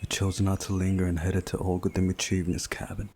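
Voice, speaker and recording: A man reading a visual novel aloud as a playthrough host, in a measured, deliberate way with pauses. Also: deep voice, monotone, darkish tone, slightly rough. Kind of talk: scripted narration of a visual novel with commentary.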